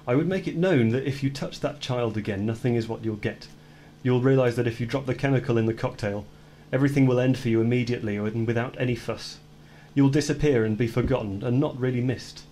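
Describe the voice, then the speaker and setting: An actor playing a brooding man who confesses violent fantasies of retribution in a deliberate, intense radio play monologue. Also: mid-range voice, mellow, a little hiss or hum, close to an everyday voice. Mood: grim, vengeful.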